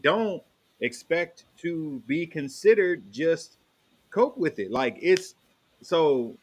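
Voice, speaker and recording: A man's voice: medium pitch, a bit bright. A man co-hosting a casual panel talk, making his points emphatically with repeated phrasing. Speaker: podcast co-host.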